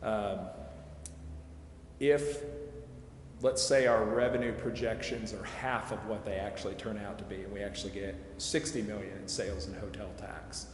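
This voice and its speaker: A man with a fairly low voice presents at a public meeting. He explains methodically and qualifies his points as he goes. The room is echoey with long reverb, and faint noise sits behind him. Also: fairly even intonation, normal speed. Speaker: presenter answering committee questions on project financing. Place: large echoey council chamber. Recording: echoey hall reverb, clear background noise.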